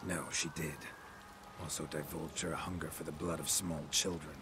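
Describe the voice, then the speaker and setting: Low, gruff American monster hunter. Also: male voice, moderate pace, noisy background. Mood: weary, curt. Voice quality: gravelly, gruff. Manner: terse, flat, dry. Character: gruff, world-weary male monster hunter, cynical and terse. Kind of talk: video game acting.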